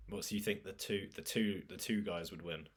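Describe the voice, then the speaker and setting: A man's voice: deep voice, fairly quick. A man, co-hosting a podcast and joking through casual banter. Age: 20s. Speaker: podcast co-host. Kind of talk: casual chat.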